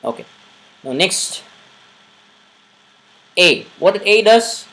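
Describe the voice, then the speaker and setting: A man's voice: sits high, animated, very slow, sharp tone. A male instructor talks through a beginner programming lesson in a live online session. He goes over each point more than once and stops often to ask whether his listeners are following.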